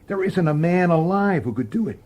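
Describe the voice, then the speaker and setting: An actor playing a sceptical, hot-headed police detective in a radio drama, blunt and argumentative. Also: male voice, high voice, lively intonation, fairly quick, darkish tone. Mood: incredulous.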